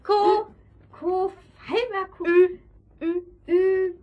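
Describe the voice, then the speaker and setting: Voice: very high voice, steady tone, muffled recording, very noisy, heavily acted. A performer playing a hesitant child puzzling through party games in a children's audio play. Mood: puzzled. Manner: slow, hesitant.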